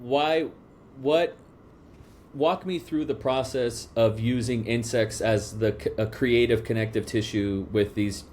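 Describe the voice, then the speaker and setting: A man's voice: mid-range voice, fairly even intonation, unhurried, a little mellow, slight background noise. A man hosting a podcast interview, asking long questions with many restarts and fillers. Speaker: podcast host. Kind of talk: casual interview.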